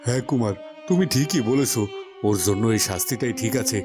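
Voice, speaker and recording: A man's voice: mid-range voice, expressive, a little fast, over music, close to an everyday voice. A man voicing a devout, long-suffering cartoon king and father, emotional and emphatic in his melodrama. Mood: vengeful. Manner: emotional, emphatic, repeating phrases.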